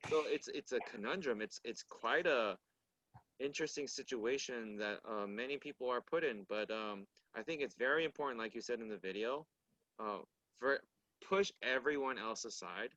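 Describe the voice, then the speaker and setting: A man's voice: medium pitch, fairly bright tone, dry recording, rough recording. A man whose first language is Korean, speaking thoughtfully in a casual discussion and often restarting his phrases. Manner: measured, thoughtful, frequent restarts.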